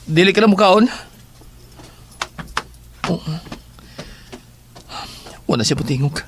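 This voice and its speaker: A man's voice: on the high side, lively intonation, takes it slowly, a little hiss or hum. A man playing an inquisitive grown child in a horror audio drama. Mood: curious. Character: inquisitive grown child questioning their mother.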